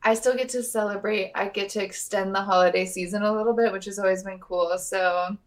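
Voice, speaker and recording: A woman's voice: mid-range voice, fairly even intonation, a little fast. A woman in her twenties co-hosting a podcast, chatting casually and playfully with dramatic exaggeration. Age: 20s. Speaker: podcast co-host. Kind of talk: casual chat.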